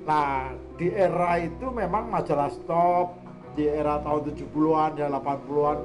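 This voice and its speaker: Male discussion guest, fairly high pitch, halting explanatory delivery, clear music behind. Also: fairly even intonation. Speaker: guest speaker on cartoon art. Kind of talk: conversational interview discussion.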